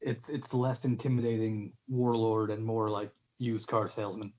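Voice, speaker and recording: A man's voice: fairly low pitch, flat delivery, moderate pace, a little mellow. A man chatting casually as a podcast co-host. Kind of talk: casual chat. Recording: lo-fi, clearly noisy, with clicks.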